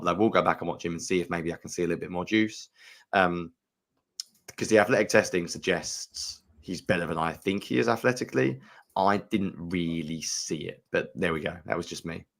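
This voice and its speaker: A man's voice: low-pitched, gravelly, fairly bright tone. A man co-hosting a sports podcast, giving frank, opinionated analysis and thinking aloud with fillers. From United Kingdom. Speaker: podcast co-host.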